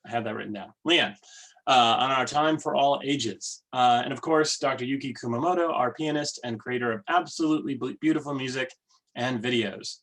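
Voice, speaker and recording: A man leading worship, giving welcomes and readings in a measured, deliberate way. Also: medium pitch, fairly bright tone. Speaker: worship leader. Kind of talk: church service welcome and readings.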